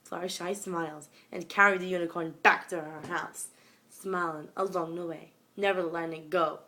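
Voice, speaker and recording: A woman's voice: on the low side, steady tone, normal speed, bright, forceful tone, slight background noise. A young woman reading a scripted story aloud in a dramatic, amateur way, recorded at home on a phone. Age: young adult or younger, school age. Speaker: amateur fan fiction reader.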